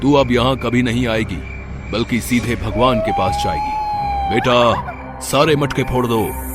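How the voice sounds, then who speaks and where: Horror-story role: man as commanding elderly holy man, protective, very animated, music behind. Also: mid-range voice, a little slow. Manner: commanding, dramatic. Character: stern, protective old holy man, a forest mystic. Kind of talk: dramatized Hindi horror story.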